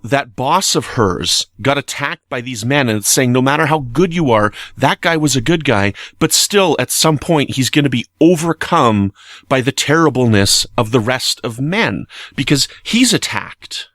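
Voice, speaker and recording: A man with a mid-pitched voice talks through his opinions as a podcast commentator. He is earnest and emphatic, halting at times as he works out his point. The sound is close and dry, with no room echo. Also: a bit bright. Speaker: podcast commentator reviewing a TV episode.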